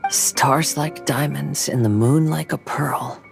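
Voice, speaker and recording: Very low, grandiose poetess. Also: female voice, takes it slowly, vocal fry, over music, full character voice. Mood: awed. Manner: grandiose, poetic, dramatic. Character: theatrical young woman with grandiose poetic airs. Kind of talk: video game cutscene voice acting.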